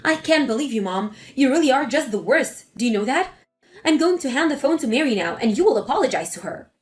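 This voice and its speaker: A woman's voice: high-pitched, expressive, a little fast, faint music behind. A woman voicing an angry grown daughter who confronts her mother sharply. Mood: indignant. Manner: sharp, indignant.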